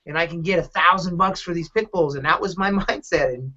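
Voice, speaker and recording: A middle-aged man hosting a podcast, telling personal stories casually in a halting, candid, rambling way. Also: a little high, pushed and bright. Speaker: podcast host, dog advocate. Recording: dull lo-fi podcast audio.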